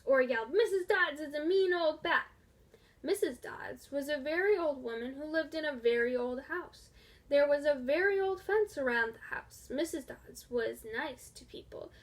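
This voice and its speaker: A woman reading a children's storybook aloud in a deliberate, expressive way. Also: high-pitched. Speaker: storybook reader. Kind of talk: scripted children's story narration.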